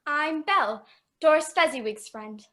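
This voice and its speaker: A woman's voice: high voice, animated, unhurried, bright, forceful tone, full character voice. A woman playing a playful, forward young woman with a teasing manner in an amateur radio play. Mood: friendly.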